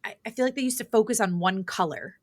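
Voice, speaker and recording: A woman in her thirties, a guest on a podcast, chatting casually in a loud, animated way and laughing a lot. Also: mid-range voice, fast, no echo, studio quality. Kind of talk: casual chat.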